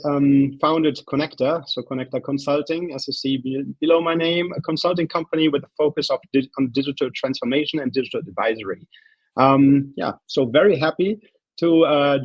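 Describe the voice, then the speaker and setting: A man's voice: fairly high pitch, a little mellow, slightly rough, no echo. German-accented man, dull dry audio. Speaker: consultant. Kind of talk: self-introduction.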